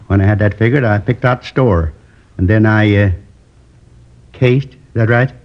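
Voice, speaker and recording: An actor playing a lonely man in his seventies who confesses to a robbery in a slow, rambling, wistful way, in 1950s radio drama acting. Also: lowish voice, lightly acted. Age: 70s. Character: lonely old male drifter turned robber. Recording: dull old radio broadcast.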